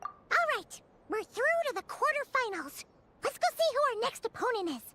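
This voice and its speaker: A woman voicing a small, childlike, eager fairy companion in a video game, chirpy and excitable. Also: extremely high pitch, moderate pace, a little hiss or hum, heavily acted. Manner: refers to self in third person, excitable. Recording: loud music under the voice. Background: game music.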